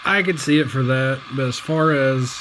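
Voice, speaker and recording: A man giving a casual hands-on review as a radio hobbyist, plainspoken and folksy with halting phrasing, recorded indoors. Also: medium pitch, background noise.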